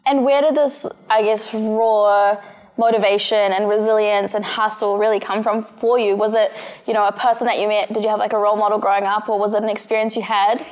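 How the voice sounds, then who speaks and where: A woman's voice: mid-range voice, level tone, a little fast, a little mellow. Casting: enthusiastic female interviewer, gushing praise, meandering questions. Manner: upbeat, effusive, rambling questions. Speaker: interview host.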